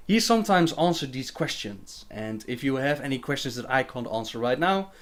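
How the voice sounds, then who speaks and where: A man's voice: medium pitch, sing-song, moderate pace. A young man hosting a casual livestream Q&A, reading viewer questions aloud and answering them chattily with fillers and laughs.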